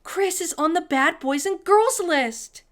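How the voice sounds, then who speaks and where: A woman's voice: very high voice, takes it slowly, a bit bright, voice pushed up, exaggerated voice. A woman voicing a stubborn, whiny young girl in a children's storybook, dramatic in manner. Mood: outraged. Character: stubborn, whiny young girl Sophie, bratty but soft-hearted.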